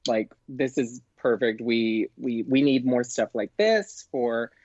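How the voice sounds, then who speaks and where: A man speaks as a podcast guest in a casual, chatty storytelling style, animated and quick to act out dialogue. His voice sits at a medium pitch. The recording is dry and a little muffled. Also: no room sound. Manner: animated, acts out quoted exchanges. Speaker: podcast guest, performer and producer.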